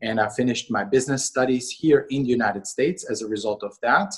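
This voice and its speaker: A middle-aged man from Austria, a company co-CEO, answering interview questions in a measured way with many fillers. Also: mid-range voice, breathy edge. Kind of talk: interview conversation.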